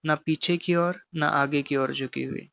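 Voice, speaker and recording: A man teaching guided meditation, giving gently repetitive, step-by-step instructions. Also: a little high, steady tone, measured pace, creaky, no echo, dull audio.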